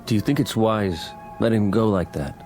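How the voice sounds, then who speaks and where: A man's voice: fairly low pitch, moderate pace, a little mellow, faint music behind, lightly acted. A man playing a polite, formal, probing associate of a town mayor in an audio drama. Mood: concerned.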